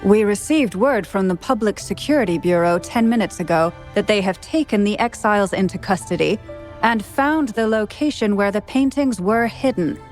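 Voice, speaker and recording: An actress playing a composed woman presiding over a hearing in a game, formal and measured. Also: medium pitch, darkish tone, natural voice. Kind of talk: game voice acting. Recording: game audio with faint music underneath.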